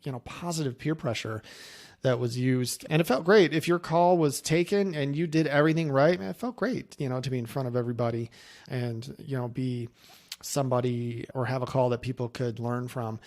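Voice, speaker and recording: Man, mid-pitched voice, casual coaching talk, conversational, anecdotal presenter. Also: fairly even intonation, fairly quick, a little mellow. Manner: conversational, anecdotal, acting out quoted remarks. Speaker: insurance agency coach and presenter.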